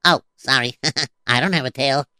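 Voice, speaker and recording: A man's voice: medium pitch, normal speed, pushed and bright, smooth, no echo, studio quality. A man playing a scatterbrained, stammering comic sidekick who keeps interrupting and mishearing words. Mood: goofy. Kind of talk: comedic children's story show.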